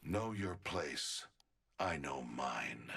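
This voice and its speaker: A man voicing a cold, sadistic scientist villain in a video game, deliberate and condescending. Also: lively intonation, fairly bright tone, exaggerated voice. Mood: menacing, superior. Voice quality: very deep, raspy. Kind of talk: video game character voice lines.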